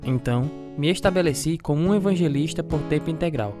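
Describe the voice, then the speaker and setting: Male actor as earnest ex-boxer evangelist, slightly high voice, radio drama narration, devout, clear music underneath. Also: quick pace, close to an everyday voice. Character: earnest former boxer turned evangelist telling his life story.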